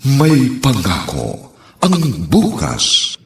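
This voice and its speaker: A man narrating a Filipino radio drama as announcer, solemn, grandiose and theatrical, with drawn-out phrases. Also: mid-range voice, wildly swooping pitch, vocal fry, fairly bright tone, a little hiss or hum.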